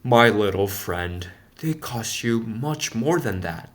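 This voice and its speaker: A man voicing a gentle, wise woodcarver in a storybook, calm, deep and kind, speaking slowly and tenderly. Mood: gently reproving. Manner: slow, deliberate, tender. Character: gentle, wise male woodcarver, fatherly maker of puppets.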